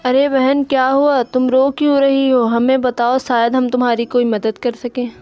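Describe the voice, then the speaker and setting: A woman's voice: high-pitched, flat delivery, moderate pace, lo-fi sound, soft background music, close to an everyday voice. A woman voicing a concerned, helpful neighbour bird in a cartoon moral story.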